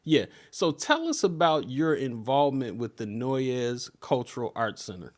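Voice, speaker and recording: A man's voice: mid-range voice, very animated, a little slow. Man hosting an interview. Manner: short encouraging replies. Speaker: interview host. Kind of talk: conversational interview.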